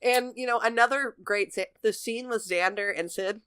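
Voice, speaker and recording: A woman's voice: a little high, moderate pace, fairly bright tone, smooth, dry recording. A woman co-hosting a podcast, chatting casually as she discusses a show. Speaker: podcast co-host. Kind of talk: casual chat, TV episode discussion.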